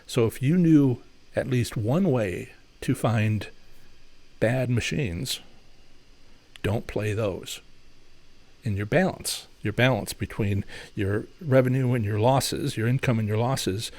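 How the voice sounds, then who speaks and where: A man's voice: mid-range voice, takes it slowly, a little mellow, dry recording. A man hosting a live question-and-answer stream, talking loosely with many fillers and restarts as he explains and gives advice. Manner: explanatory, advising. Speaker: host answering viewer questions. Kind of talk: live Q&A chat.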